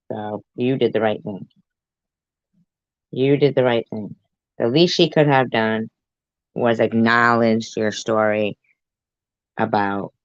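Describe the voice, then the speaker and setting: A woman's voice: extremely low pitch, no room sound, lo-fi sound. A woman reacting to a clip with dry, sarcastic asides. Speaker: commentary YouTuber.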